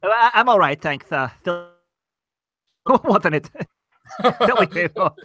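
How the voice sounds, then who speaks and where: A woman's voice: medium pitch, expressive, slow, fairly bright tone. A woman playing a polite, accident-prone, silly character with exaggerated exclamations in a hammy old-time radio parody. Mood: sheepish.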